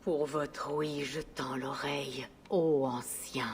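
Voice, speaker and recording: Very deep-voiced viking seeress, super slow, hushed and mystical, game voice. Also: female voice, fairly even intonation, slight background noise. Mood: whispered prayer. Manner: slow, hushed, ritual chanting and whispering. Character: Norse seeress woman, mystical and solemn. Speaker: village völva in a video game. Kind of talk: video game voice acting.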